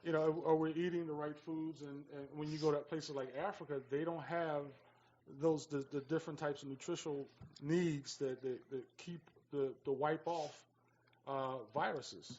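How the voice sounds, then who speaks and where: A man on a talk radio panel discussing things casually and haltingly, often repeating his phrases. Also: fairly high pitch, fairly even intonation, a little mellow, dull audio, a little hiss or hum. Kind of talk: casual current-affairs discussion.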